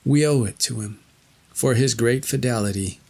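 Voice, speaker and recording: A man's voice: mid-range voice, moderate pace, gravelly, a little mellow. A man voicing a faithful, dutiful fairy tale queen with formal, earnest speech.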